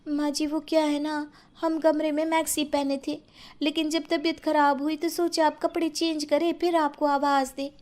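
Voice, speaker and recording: This is a woman acting a meek young daughter-in-law in a moral story. The voice is very high. She sounds timid, bashful and pleading. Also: level tone, moderate pace, a little mellow, lightly acted. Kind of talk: acted Hindi moral story.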